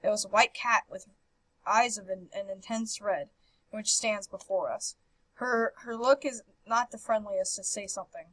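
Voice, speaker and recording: A woman hosting a game playthrough, reading visual novel text aloud with animated, casual reactions and stumbling over words now and then. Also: medium pitch, normal speed, low-quality recording. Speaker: host of a video game playthrough. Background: game background music.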